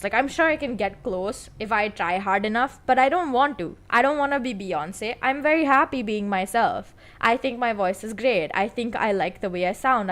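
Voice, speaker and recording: A woman's voice: a little high, a bit bright. A young woman with a slightly raised voice chats to her viewers between songs. She rambles freely, filling gaps with "like" and "you know." She sounds exasperated as she tells a story. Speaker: singing streamer. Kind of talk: casual chat to viewers.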